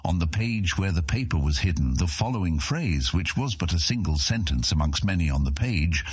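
A man reads a fantasy story in a very deep, husky voice. His measured, dramatic delivery moves expressively up and down in pitch. The sound is close and dry, as in an audiobook. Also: animated, no room sound. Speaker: audiobook narrator. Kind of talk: scripted fantasy story narration.

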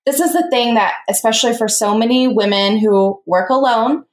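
A woman's voice: a little high, moderate pace, echoey room. A woman co-hosting a podcast, talking in a bubbly, casual way full of fillers. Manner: bubbly, filler-heavy, lots of "like". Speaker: podcast co-host. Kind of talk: casual interview conversation.

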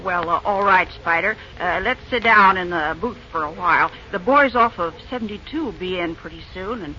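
A performer playing a gruff but kindly woman who runs a railroad restaurant, speaking in a folksy country way with hesitant pauses, in an old-time radio drama. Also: medium pitch, pushed and bright. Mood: businesslike. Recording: noisy, dull early radio recording.

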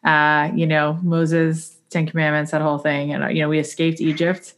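A woman with a fairly low voice hosts an interview podcast. She moves between scripted presenting and conversational questioning, addressing her guest and listeners as a host. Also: monotone, normal speed.

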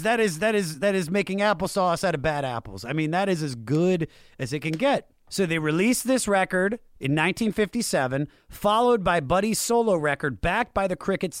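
An American man with a fairly high voice talks music history with enthusiasm. He is emphatic and slangy, repeating phrases to drive a point home, in a very dry recording. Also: no room sound. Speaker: music show host.

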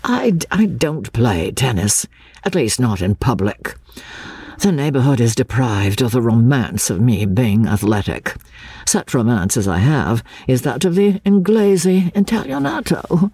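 A man's voice: medium pitch, big pitch swings, unhurried, darkish tone, slightly rough, no echo, exaggerated voice. A woman voicing a supercilious, irritable young Englishman in an audiobook, pompous and affectedly worldly. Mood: affected, arch. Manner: pompous, hesitant restarts.

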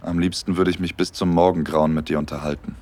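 A man's voice: sits low, little pitch movement, fast, a little mellow. An actor playing a smitten, romantic young man, tender and intimate, in a first-person audio drama. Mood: longing, intimate.

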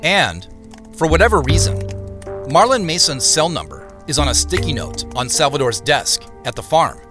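An American actor playing a reflective amateur investigator, narrating an audio drama in a measured, conversational way. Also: male voice, fairly high pitch, sing-song, over music. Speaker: narrator and lead character.

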